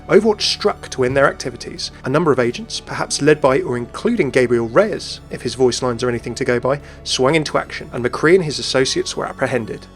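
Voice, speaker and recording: A man reading scripted narration for a video essay. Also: medium pitch.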